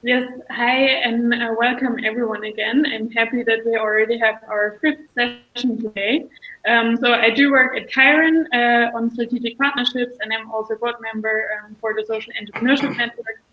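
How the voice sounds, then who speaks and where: A woman's voice: on the high side, little pitch movement, normal speed, phone-quality sound. A woman introducing herself on a video-call panel, friendly and orderly. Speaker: panel co-host, partnerships worker. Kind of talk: casual online panel talk.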